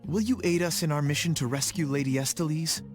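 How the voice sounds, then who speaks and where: An actor playing an earnest, dutiful young knight with formal, measured speech in a fantasy video game. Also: male voice, on the high side, soft background music, lightly acted. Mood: solemn. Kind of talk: English game dub acting.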